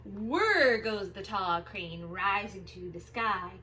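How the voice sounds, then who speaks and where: A woman reading aloud to young children as a storytime host, expressive and sing-song, drawing out the sound words. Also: mid-range voice, takes it slowly, slight background noise. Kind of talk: animated picture-book read-aloud for young children.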